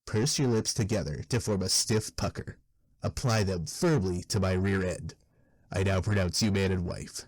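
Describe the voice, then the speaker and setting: Man acting a deep, husky, contemptuous author. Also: normal speed, no room sound. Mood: mocking. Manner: scornful, verbose. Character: contemptuous, foul-mouthed textbook author, weary and sneering. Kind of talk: fan dub character narration.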